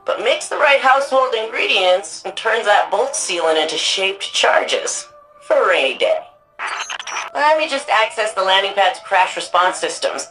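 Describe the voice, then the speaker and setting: A woman's voice: medium pitch, animated, unhurried, a bit bright, soft background music, poor audio. An actress playing a wry, anxious woman archivist and resistance hacker in a sci-fi video game, speaking in a casual, conversational way. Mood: focused, matter-of-fact. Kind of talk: video game mission dialogue.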